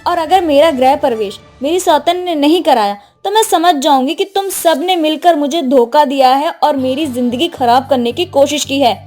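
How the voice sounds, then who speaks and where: Woman doing a snooty demanding young city wife, high voice, cartoon story w/ soft music. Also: normal speed, hardly any pauses, lightly acted. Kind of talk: Hindi moral-story cartoon dubbing. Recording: faint music behind the voice.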